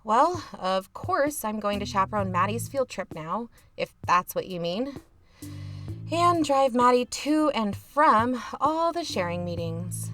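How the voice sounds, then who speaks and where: A woman's voice: on the high side, big pitch swings, a little slow, fairly bright tone, faint music behind. A woman playing a reluctant, sardonic younger sister who hosts an investigative podcast in an audio drama, talking casually. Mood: wry, defensive. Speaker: podcast host character. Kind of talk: conversational audio fiction acting.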